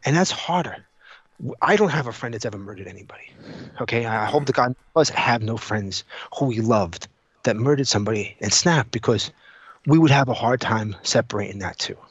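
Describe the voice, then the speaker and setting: Guy podcaster telling stories, really lively pitch, does the dialogue, swears, dry kinda lofi sound. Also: brisk, husky, no room sound. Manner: animated, acts out dialogue, swears freely. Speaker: podcast co-host. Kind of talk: casual chat, animated storytelling.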